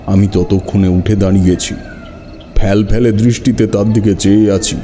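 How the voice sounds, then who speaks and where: A man's voice: fairly low pitch, steady tone, moderate pace, mellow, slight background noise. A man narrating a horror story dramatically in the first person.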